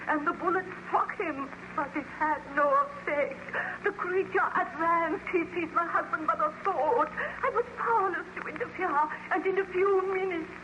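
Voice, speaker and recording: A very high-voiced, frightened peasant widow. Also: female voice. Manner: melodramatic, fearful. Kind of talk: old-time radio drama acting. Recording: muffled, very noisy old radio recording.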